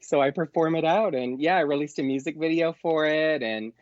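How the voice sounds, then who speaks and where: A man's voice: fairly high pitch, brisk, no room sound, highs cut off. A man speaking as a performer guest in a casual interview, telling anecdotes in a chatty way.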